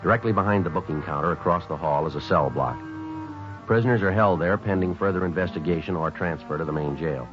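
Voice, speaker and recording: A man acts a businesslike American detective in a vintage radio police drama. The voice is deep and quick, with terse deadpan delivery, on a dull old broadcast recording. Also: over music, lightly acted. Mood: flat, factual. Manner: deadpan, terse, matter-of-fact. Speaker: narrator and lead actor. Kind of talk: 1950s radio police drama acting, clipped scripted narration.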